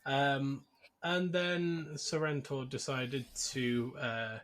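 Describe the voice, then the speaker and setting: A man's voice: medium pitch, fairly even intonation, takes it slowly, a bit bright. A man hosting a podcast, casually recapping a film in a jokey, conversational way. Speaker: podcast host.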